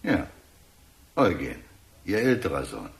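An actor playing a composed, talkative police chief inspector in a German radio drama, speaking in a measured, wry, placating manner. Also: male voice, very deep voice, huge pitch swings, gravelly, heavily acted. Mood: measured, patronizing.

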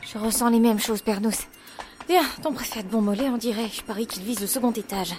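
A woman acts a determined, cool-headed female adventurer in an audio drama. Her voice is on the high side, with a resolute, teasing, conspiratorial way of talking. Music is plainly heard behind her. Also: brisk, a little mellow, lightly acted. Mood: wary, teasing. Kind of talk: French audio drama acting.

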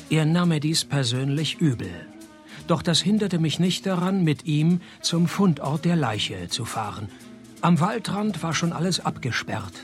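An actor playing a laconic, cynical private detective who narrates and talks in a dry, deadpan way in a radio play. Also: male voice, on the high side.

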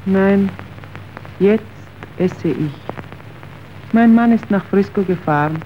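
Starving poor wife played by a woman, resigned, very slow, halting, subdued, muffled radio-play recording. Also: medium pitch, close to an everyday voice. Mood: desperate, resigned. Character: hungry, destitute wife, resigned and shamefaced. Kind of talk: radio drama dialogue. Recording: dull, noisy old radio recording.

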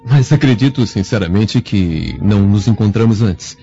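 A man as a low, mellow Roman flatterer. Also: normal speed, lightly acted. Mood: courteous, flattering. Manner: polite, flattering, careful with words. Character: courteous, smooth-talking male guest among Roman nobles. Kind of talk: theatrical radio drama acting. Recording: muffled lo-fi radio drama with music.

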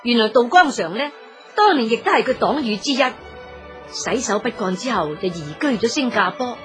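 Animated Cantonese woman storyteller. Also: a little high, moderate pace, soft background music. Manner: dramatic, colloquial, exclamatory. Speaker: storyteller narrator. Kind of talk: lively scripted story narration.

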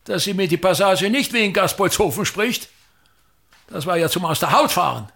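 An actor playing a pompous, grandiose older thespian patriarch in a radio drama, declaiming with gravelly, aggrieved emphasis. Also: male voice, high voice, animated, moderate pace, pushed and bright, full character voice. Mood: indignant, grandiose. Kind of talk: theatrical radio drama monologue.

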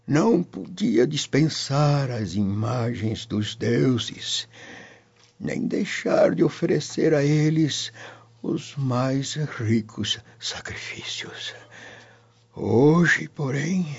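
Frail old patriarch, very slow and gravelly. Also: male voice, medium pitch, darkish tone, heavily acted. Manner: slow, solemn, halting delivery. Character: frail, devout old Roman patriarch, tender grandfather. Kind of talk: radio drama acting. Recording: dull lo-fi radio drama audio. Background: music.

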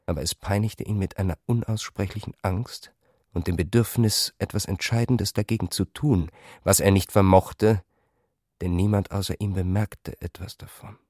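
Low-voiced man narrating literary prose. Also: fairly even intonation, normal speed, a little mellow, no echo, studio quality. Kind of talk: scripted literary narration for radio.

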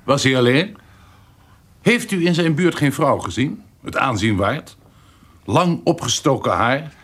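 An actor playing an imperious, vengeful privy councillor in an audio drama, formal, probing and commanding. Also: male voice, mid-range voice, lively intonation, breathy edge. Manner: formal, commanding, deliberate courtesy turning sharp. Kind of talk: Dutch audio drama acting.

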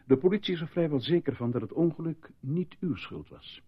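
Casting: composed, reassuring doctor, formal manner, widely varied pitch, muffled radio play recording. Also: male voice, lively intonation, slightly rough. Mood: explaining gently. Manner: measured, formal, soothing. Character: calm, reassuring male military hospital doctor. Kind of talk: radio drama acting.

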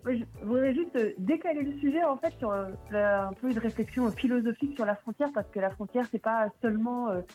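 A woman on a radio debate show, sharing a thoughtful view at length and pausing often to find her words. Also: on the high side, a little mellow, faint music behind, poor audio. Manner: reflective, thinking aloud with hesitations. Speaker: debate participant.

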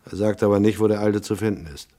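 Man playing low-voiced hard-boiled private detective. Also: steady tone, fast talker, dark tone, natural voice. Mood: terse, dry. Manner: laconic, matter-of-fact. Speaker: narrator and lead character. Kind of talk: noir radio drama narration and dialogue.

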